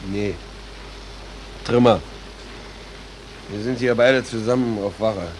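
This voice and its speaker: Lowish, laconic ship's crewman. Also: slow, darkish tone. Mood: resigned. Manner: laconic, matter-of-fact. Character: weary, blunt male stokehold crewman. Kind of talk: 1940s German radio play acting. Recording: slightly noisy vintage radio recording.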